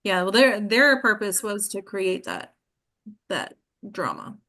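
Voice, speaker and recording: Bright-voiced woman leading a group discussion. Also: mid-range voice, very animated, slow pace. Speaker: acting class host.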